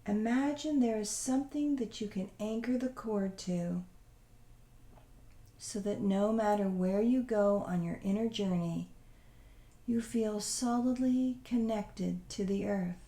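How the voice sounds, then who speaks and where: A woman leading a guided visualization meditation in a soothing, unhurried, gently instructing way. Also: mid-range voice, echoey room.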